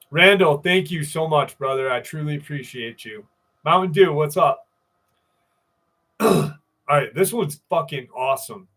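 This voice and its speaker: A man's voice: on the high side, lively intonation, measured pace, long pauses, fairly bright tone. A man hosting a live stream, telling stories in a loose, profane way and greeting viewers by name. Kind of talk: casual live stream chat and storytelling.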